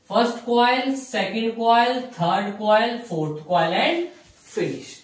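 A man's voice: very high-pitched, animated, very slow. A young man teaching in lecture style, repeating key phrases for emphasis and often saying okay. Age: young adult. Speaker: physiotherapy teacher. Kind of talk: classroom-style lecture at a whiteboard. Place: small bare indoor room with whiteboard. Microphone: camera mic a few steps away. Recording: very echoey room, distant microphone.

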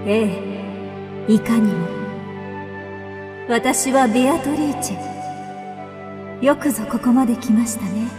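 An actress playing a gracious heavenly lady and guide, gentle and stately, in a radio drama. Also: fairly high pitch, slow, pauses often. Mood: gracious, welcoming. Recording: long hall reverb under music.

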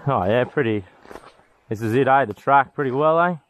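A man's voice: medium pitch, moderate pace, slight background noise. A man hosting a fishing vlog, chatting in an easygoing, agreeable way and tacking on tag questions. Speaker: fishing vlogger host. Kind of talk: casual outdoor chat. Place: outdoors on a boat and in island bush.

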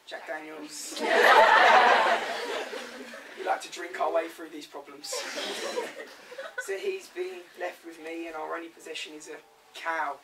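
A man's voice: a little high, expressive, unhurried, pauses often. A young man playing a brassy, joking mother as a pantomime dame, chatting with the audience on a small theatre stage. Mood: chatty. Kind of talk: school pantomime acting. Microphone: distant camera mic. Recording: distant, noisy stage recording.

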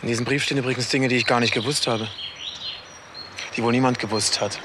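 An actor playing an embittered, accusing young son in a German crime radio drama. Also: male voice, mid-range voice, steady tone, quick pace, background noise, close to an everyday voice. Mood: menacing.

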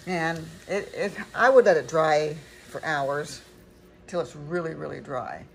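A woman's voice: low-pitched, unhurried. An older woman chatting casually and rambling a little as she talks through a craft demonstration at home in her kitchen. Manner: rambling, chatty, self-correcting. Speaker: craft tutorial host. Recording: clearly noisy home recording with clicks.

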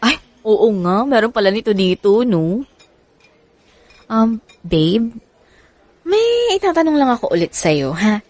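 High-voiced, insecure girlfriend. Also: big pitch swings, measured pace, pauses often, darkish tone, dry recording. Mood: hesitant, casual. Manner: pleading, questioning. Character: young mother, insecure girlfriend pressing her evasive lover. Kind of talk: radio drama acting. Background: background music.

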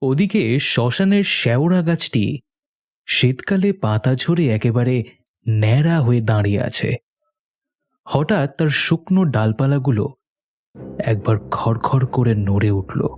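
A man's voice: mid-range voice, lively intonation, unhurried, long pauses, darkish tone. A man narrating a scripted horror story for a cartoon in a storytelling style. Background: background music.

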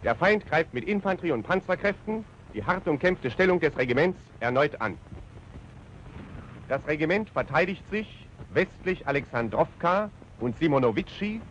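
An actor playing a stern German army commander giving a clipped, formal military briefing, on an old 1940s film soundtrack. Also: male voice, fairly high pitch, a bit bright. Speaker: regimental commander briefing officers. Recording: dull 1940s film soundtrack with faint hiss.

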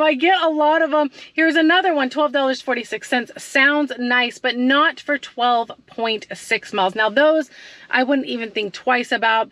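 A woman's voice: high voice, bright, forceful tone, dry recording. A woman in her thirties chatting casually to camera from her parked car at night, often asking her viewers questions. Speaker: delivery driver vlogger. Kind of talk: casual vlog talk to camera. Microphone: camera mounted on dashboard.

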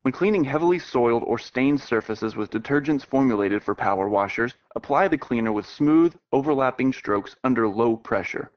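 Male American narrator for a how-to video, mid-pitched, measured explanatory read, lo-fi web audio. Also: animated. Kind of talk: scripted narration.

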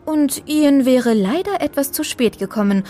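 An actress playing an inquisitive, sharp-tongued woman with a sardonic, probing manner in an audio drama. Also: high voice, lively intonation, measured pace. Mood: biting, teasing. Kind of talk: German audio drama dialogue.

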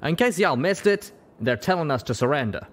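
A man's voice: fairly high pitch, lively intonation, fairly quick, voice pushed up. A man voicing a folksy male pegasus cowboy with a Southern US drawl in an audiobook. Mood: uneasy. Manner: plain-spoken drawl.

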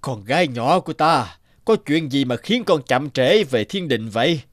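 A man's voice: medium pitch, animated, normal speed, faint music behind, close to an everyday voice. A man voicing an old heavenly emperor in a cartoon, a stern father who speaks in a commanding, emphatic way. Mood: doting.

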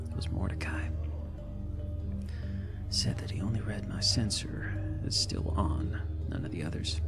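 A man playing a drunk, haunted space salvager in an ASMR roleplay, slurred, halting and rambling in a hushed gravelly whisper. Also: low voice, heavily acted. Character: drunk, haunted male space salvager confessing over drinks. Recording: loud bar ambience music behind. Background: bar night ambience and music.

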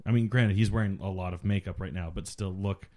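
A man's voice: deep voice, steady tone, fairly quick, warm tone. A man co-hosting a casual film commentary podcast, chatty and jokey, sharing effects trivia. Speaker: podcast co-host.

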